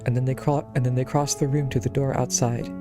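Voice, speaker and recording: A man's voice: mid-range voice, little pitch movement, fast. A man streaming a visual novel, reading its text aloud and commenting casually. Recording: clear game music behind the voice.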